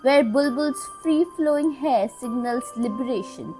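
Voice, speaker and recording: A woman reading scripted, dramatic narration as a host, in measured literary phrasing with deliberate pauses. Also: high-pitched, warm tone. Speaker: video essay narrator, podcast host. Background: music.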